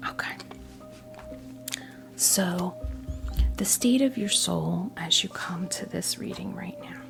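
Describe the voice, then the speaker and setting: Slow whispering woman with creaky voice. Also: fairly low pitch. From New Jersey, United States. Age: 50s. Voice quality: breathy whisper mixed with soft creaky voice. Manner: slow, halting, pausing often, reassuring. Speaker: ASMR performer giving a tarot reading. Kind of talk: whispered ASMR role play, unscripted tarot reading. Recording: music playing behind the voice. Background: music.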